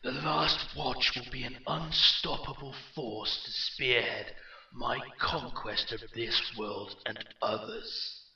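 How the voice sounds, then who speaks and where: A man voicing a mocking, commanding undead warlord in a fantasy audiobook, with slow, imperious delivery. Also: fairly low pitch, flat delivery, roomy sound, distant mic, lo-fi sound, exaggerated voice. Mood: grand, intense. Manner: slow, mocking, rising to shouted intensity. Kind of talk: fantasy audiobook character dialogue.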